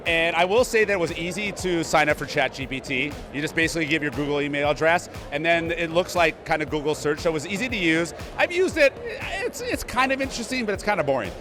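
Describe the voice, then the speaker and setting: A man with a fairly high voice chats as a guest at an outdoor event, upbeat and emphatic, driving his points home by saying them twice. Loud music plays behind him. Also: animated, fast talker, hardly any pauses. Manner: upbeat, emphatic, repeats himself. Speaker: interview guest. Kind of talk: casual event interview. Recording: music playing loudly behind.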